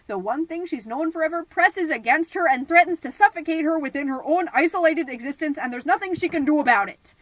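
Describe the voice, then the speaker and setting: A woman's voice: high voice, fairly bright tone, no echo. A woman playing a self-important, theatrical suburban mom who tells her story in a comedic scripted podcast monologue. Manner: theatrical, pompous, indignant. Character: melodramatic suburban mom, self-important party planner, easily exasperated. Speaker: podcast host character narrating.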